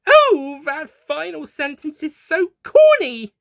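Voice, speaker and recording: A man's voice: very high-pitched, very animated, slow, creaky, a bit bright, no room sound, clean studio sound, voice pushed up, exaggerated voice. A man voicing a cheeky, playful female time-travelling adventurer in a theatrical manner for an audio story. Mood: amused. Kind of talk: acted character lines in audio story.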